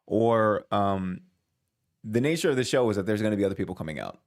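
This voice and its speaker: A man's voice: fairly low pitch, expressive, a little fast. A man hosting a reaction video, casually thinking aloud, hedging and restarting his sentences. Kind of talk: casual commentary.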